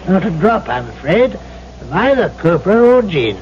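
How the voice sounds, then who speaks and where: An actor playing a suave, sly, cynical gentleman trader in an old-time radio drama, speaking in a glib, florid, over-polite way. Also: sits high, very animated, unhurried, slight background noise. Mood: genial, persuasive. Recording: dull old radio recording.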